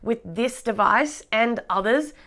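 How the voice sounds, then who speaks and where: Australian woman tech reviewer, slightly raspy from a cold, upbeat casual vlog delivery. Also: a little high, measured pace, fairly bright tone. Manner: chatty, enthusiastic, laughs often.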